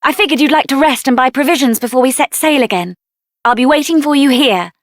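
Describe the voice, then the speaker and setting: A woman voicing a headstrong young female mage adventurer in a video game, with an assertive, sassy and expressive delivery. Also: sits high, fast talker, dry recording, clean studio sound, lightly acted. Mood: friendly, brisk. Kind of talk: video game character voice acting.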